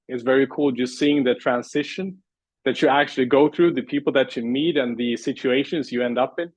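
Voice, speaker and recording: A man's voice: medium pitch, no room sound. A man from Sweden, a guest answering in a casual interview, earnest and thoughtful with frequent restarts. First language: Swedish. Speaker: guest, language and pitch coach.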